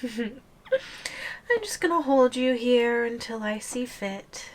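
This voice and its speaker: A woman's voice: fairly high pitch, sing-song, takes it slowly. A woman voicing a playful, possessive giantess girlfriend in an ASMR audio roleplay, with a teasing, giggly, languid delivery. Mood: smugly possessive.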